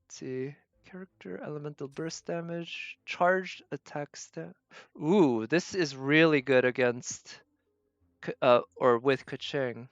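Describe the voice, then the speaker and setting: A woman giving casual livestream game commentary, thinking aloud in halting fragments as she plays. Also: sits low, dry recording, lo-fi sound. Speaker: game streamer.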